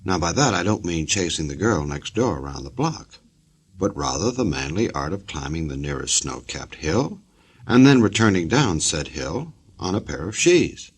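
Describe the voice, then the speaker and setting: A man with an American accent reading scripted old-time radio introductions in a husky, folksy, tongue-in-cheek way. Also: sits low, big pitch swings, normal speed. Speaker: radio show announcer.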